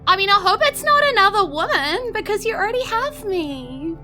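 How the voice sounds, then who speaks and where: A woman's voice: extremely high pitch, very animated, moderate pace, over music, pitched above the performer's own voice. A woman voicing a ditzy, lovestruck crystal-shop keeper in a tabletop game, drawling airily with lots of filler words. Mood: clingy, dreamy. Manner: gushing, filler-heavy valley-girl drawl with many "like"s.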